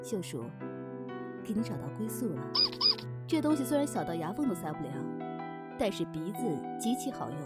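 A woman's voice: medium pitch, sing-song, moderate pace, long pauses, lightly acted. A woman acts as a mysterious innkeeper in a fantasy radio play, her voice swinging expressively. She is shrewd and teasing, with a commanding edge. Music plays prominently underneath. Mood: sly, enigmatic. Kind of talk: Chinese fantasy radio drama acting. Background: background music.

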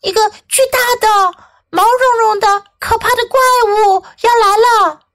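A woman's voice: extremely high pitch, a little slow, fairly bright tone, pitched above the performer's own voice. A woman voicing a frightened little rabbit in a children's story, exclaiming in alarm. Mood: panicked. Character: frightened small rabbit warning of a monster. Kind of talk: bilingual children's storybook reading.

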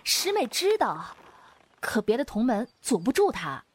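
Woman acting a lively, high-voiced young swordswoman. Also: unhurried, husky, full character voice. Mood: pleading, hesitant. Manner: hesitant, coaxing, theatrical. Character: playful, earnest young female swordswoman, a junior disciple. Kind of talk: Chinese wuxia radio drama acting.

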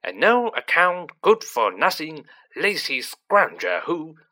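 A man's voice: mid-range voice, huge pitch swings, measured pace, sharp tone, exaggerated voice. A man voicing a boorish, snobbish middle-aged aunt in a storybook, with a blustering, contemptuous delivery. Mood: scornful, loud. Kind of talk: storybook character voice.

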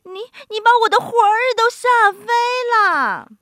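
A tiny, excitable old lady from a storybook, acted by a woman. The voice is very high and shrill, swooping dramatically as it exclaims. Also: measured pace, no echo, studio quality, heavily acted. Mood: startled. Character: small, skinny old woman, excitable yet sharp.

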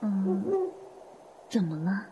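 A woman acting in a Chinese audio drama as a gracious, weary middle-aged hotel manager, soft-spoken, polite and unhurried. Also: medium pitch, lo-fi sound, slight background noise. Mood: reflective.

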